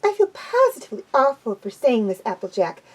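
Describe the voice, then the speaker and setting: A woman's voice: fairly high pitch, huge pitch swings, brisk, husky, exaggerated voice. A woman voicing a refined, fussy female unicorn pony who speaks in a posh, prim way.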